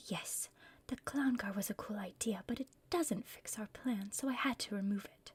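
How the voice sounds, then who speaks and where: A woman's voice: mid-range voice, moderate pace. A woman playing a bossy, scheming female villain in a whispered ASMR roleplay, impatient and conspiratorial with her partner. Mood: explaining, conspiratorial. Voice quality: breathy whisper.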